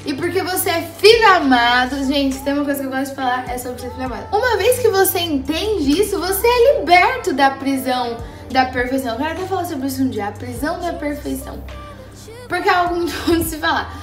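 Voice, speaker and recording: Young woman, high voice, animated casual vlog chat, slangy, direct, roomy echo, faint music behind. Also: fairly quick. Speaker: vlogger. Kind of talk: casual motivational chat to viewers.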